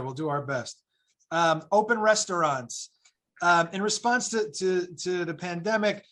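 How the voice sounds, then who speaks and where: A man's voice: a little high, a bit bright. A man serving as a council member, asking questions at a hearing in a casual, friendly way and reading prepared questions.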